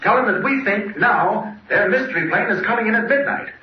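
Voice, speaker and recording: An actor playing a sharp, decisive, brave reporter hero in a 1940s radio serial, brisk and commanding. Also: male voice, high voice, expressive. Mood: urgent, decisive. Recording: dull old radio recording, faint noise.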